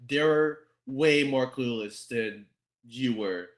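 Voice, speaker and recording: A man explaining things in casual conversation, halting and often repeating his phrases as he goes. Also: medium pitch. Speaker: podcast guest.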